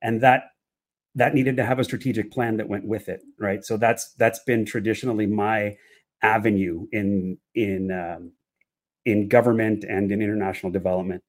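Low-pitched man, reflective podcast guest. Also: fairly even intonation, normal speed. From United States. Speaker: podcast guest, economist and development specialist. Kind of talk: conversational interview answer.

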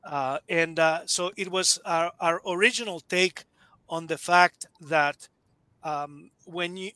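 Man from nicaragua in his 50s, bright forceful voice, lots of uh and restarts, dry sound. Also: on the high side, steady tone, takes it slowly, clear, resonant tone, dry recording. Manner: hesitant, many fillers and restarts. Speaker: guest author. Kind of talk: casual interview talk.

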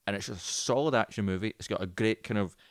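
A man's voice: on the low side, fast talker, dry recording, clean studio sound. A man co-hosting a podcast, chatting informally and with strong opinions about films, swearing freely. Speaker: podcast co-host. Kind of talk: casual film and video game chat.

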